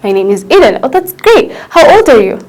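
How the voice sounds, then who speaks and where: A woman in her twenties with an Ethiopian accent, teaching English and switching languages, slowing down to spell out phrases. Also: high voice, big pitch swings, a little mellow. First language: Amharic. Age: 20s. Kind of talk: bilingual English lesson.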